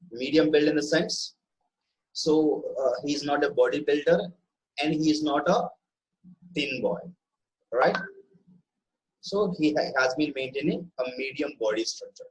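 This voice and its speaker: Male online English tutor, Indian accent, pitch a bit above average, instructive, frequent checks for understanding. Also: poor audio. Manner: instructive, often checks with "right?". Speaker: English teacher. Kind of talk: online vocabulary lesson.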